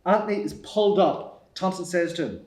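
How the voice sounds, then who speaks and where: A man's voice: high-pitched, expressive, fairly quick, darkish tone. A man reading out screenplay stage directions as the narrator at a table read. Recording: echoey room.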